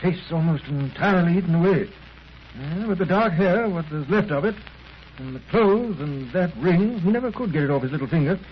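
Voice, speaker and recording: Halting genteel doctor played by a man, muffled recording. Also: on the high side, animated, natural voice. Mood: uneasy, grave. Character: genteel, hesitant, polite medical gentleman. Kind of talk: 1930s radio acting. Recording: muffled, noisy 1930s radio recording.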